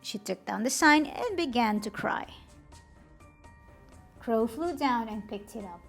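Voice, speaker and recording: A woman reading a children's picture book aloud expressively, in a deliberate, animated storytelling manner. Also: fairly high pitch, faint music behind.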